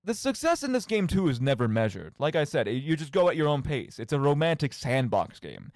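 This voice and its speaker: Animated, higher-voiced man rambling about games. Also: fairly quick, dry recording, clean studio sound. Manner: rambling, self-interrupting, emphatic. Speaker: radio show host. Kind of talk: casual solo commentary on video games.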